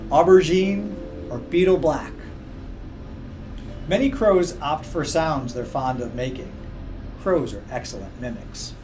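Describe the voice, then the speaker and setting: A man reading a children's book aloud in a measured, expressive way. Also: a little high. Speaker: story reader. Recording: music playing behind. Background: background music.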